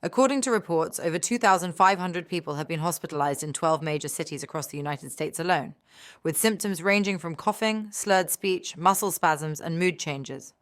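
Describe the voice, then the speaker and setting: A woman's voice: fairly low pitch, moderate pace. A woman reading scripted news narration as a reporter. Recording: faint background noise.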